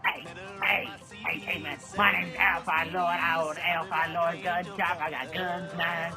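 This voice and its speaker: A man playing a pushy, scatterbrained gun shop salesman in an amateur skit, rambling and repeating himself excitably. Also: a little high, unhurried, music in the background. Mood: pushy, excitable. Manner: rambling, repetitive, excitable, slangy.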